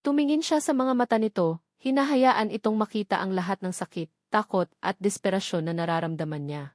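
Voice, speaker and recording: A woman narrates a dramatic audiobook in an emotive storytelling manner. Her pitch sits in the middle for a woman. The sound is dry, with very little room around it. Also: fairly quick, a little mellow, dry recording.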